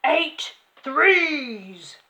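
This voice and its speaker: A woman's voice: very high-pitched, slow pace, fairly bright tone, pitched above the performer's own voice, heavily acted. A woman voicing a furious, bullying tyrant of a headmistress from a children's story, bellowing insults with great emphasis. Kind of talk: children's storybook character reading.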